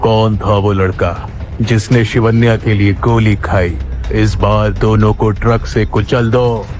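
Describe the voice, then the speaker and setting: Cold evil boss, lowish and super slow, audio drama villain. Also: male voice, steady tone, a little mellow, faint music behind. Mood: cold menace. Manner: cold, deliberate. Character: menacing villain boss ordering killings.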